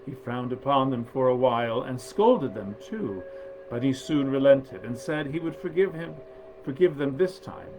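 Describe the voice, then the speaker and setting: A man reading nineteenth-century fairy tales aloud in a measured, expressive storyteller's manner. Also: medium pitch, a little hiss or hum, low-quality recording. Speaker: actor reading stories aloud.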